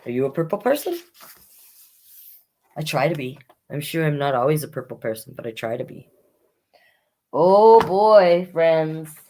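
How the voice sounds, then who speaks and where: A woman hosting a children's storytime, reading picture books aloud expressively and adding chatty asides. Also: deep voice. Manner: expressive, unhurried, comments on the story as she reads.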